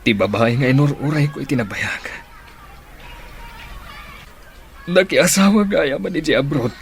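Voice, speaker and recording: A man's voice: mid-range voice, brisk, vocal fry, a faint crowd, slight background noise, lightly acted. A man with an Ilocano accent playing a heartbroken, tearful jilted lover in a radio drama.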